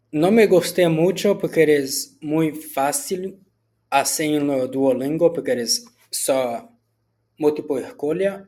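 A man from Brazil, a student whose first language is Portuguese, speaks Spanish with a Brazilian Portuguese accent as he answers set questions for a school assignment, often restarting words and filling pauses with "ah". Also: mid-range voice, darkish tone. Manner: halting, with frequent restarts and filler "ah".

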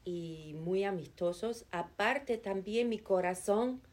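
A middle-aged woman from Chicago, answering interview questions calmly and earnestly, with hesitant fillers. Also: mid-range voice, takes it slowly. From Chicago, United States. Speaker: interviewee, nonprofit administrative assistant.

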